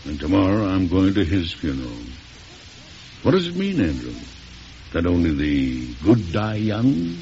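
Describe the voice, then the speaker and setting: A man's voice: deep voice, wildly swooping pitch, a little slow, mellow, faint music behind, full character voice. An older man playing an aging, stern and wry American president in an old-time radio drama, formal and commanding. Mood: weary, reflective. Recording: hissy, muffled vintage radio recording.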